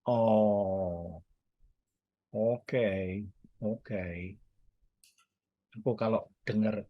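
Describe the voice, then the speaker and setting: A man's voice: on the low side, extremely slow, dull audio. A man preaching in a casual, chatty way, joking with his listeners and mixing in English phrases. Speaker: guest speaker. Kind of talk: casual teaching sermon. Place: church service or online meeting.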